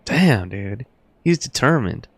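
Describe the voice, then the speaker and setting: A woman's voice: rumbling low, very animated, slow pace, dry recording. A woman giving casual, slangy, reactive commentary on a live game playthrough. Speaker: game streamer. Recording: faint game music behind.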